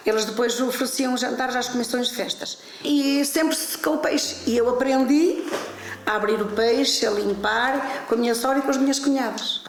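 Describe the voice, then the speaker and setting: Older woman, high voice, echoey room. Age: 70s. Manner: hesitant, repeats words while explaining step by step. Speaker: interviewee, traditional fish curer. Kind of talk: documentary interview.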